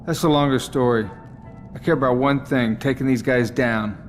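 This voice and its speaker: A man's voice: mid-range voice, moderate pace, faint music behind, close to an everyday voice. A man playing a weary, determined marine survivor with a dry, terse way of talking in a video game. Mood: weary, resolute. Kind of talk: video game dramatic dialogue. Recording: long hall reverb.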